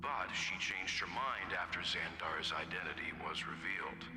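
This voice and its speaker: Very deep, grave man acted for a game. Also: normal speed, lightly acted. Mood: matter-of-fact. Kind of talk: video game voice acting. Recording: echoey long reverb, music behind.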